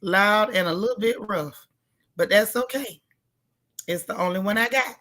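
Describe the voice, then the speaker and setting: A woman hosting a casual live recap chat, with a loud, gravelly, hoarse voice, greeting viewers by name with folksy slang. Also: mid-range voice, normal speed. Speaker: livestream host.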